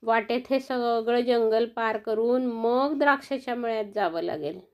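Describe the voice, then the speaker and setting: Woman storyteller, slow unhurried pace, fairly high voice, sing-song and coaxing, dull lo-fi sound. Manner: sing-song, coaxing, talks directly to children. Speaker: storyteller for children. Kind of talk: bedtime story narration.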